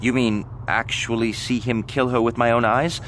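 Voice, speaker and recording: An actor playing a cocky gambler giving testimony in a video game, talking in a casual, matter-of-fact way. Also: male voice, mid-range voice, flat delivery, moderate pace, background noise, lightly acted. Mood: casual, sure. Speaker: trial witness. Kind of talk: conversational game dialogue.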